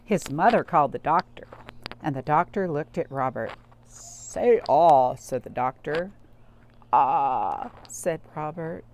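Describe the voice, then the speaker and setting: A woman's voice: low voice, normal speed. A woman hosting a children's storytime, chatting and reading aloud, often putting simple questions to her young listeners. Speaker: library storytime host and reader. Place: at home, in a cottage.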